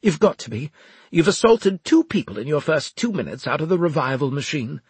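A man's voice: on the high side, lively intonation, brisk, darkish tone, breathy edge, no room sound, voice pushed up. A man voicing a haughty, irritable military officer for an audiobook, clipped, sarcastic and demanding. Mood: indignant.

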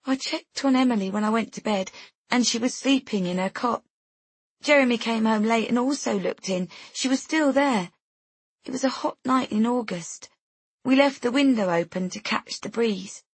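A woman's voice: on the high side, moderate pace, husky, no echo, pitched above the performer's own voice. A woman voicing a grieving mother who makes an anguished, pleading appeal in a radio interview, within a story. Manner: stripped bare, anguished appeal.

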